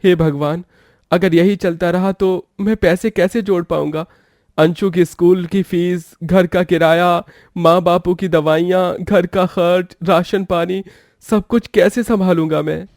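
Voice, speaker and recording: A man's voice: high-pitched, steady tone, measured pace, a little mellow, no echo, clean studio sound. A man voicing a poor, earnest young village pakora seller in a cartoon moral story, with a plaintive, melodramatic delivery. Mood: earnest, worried.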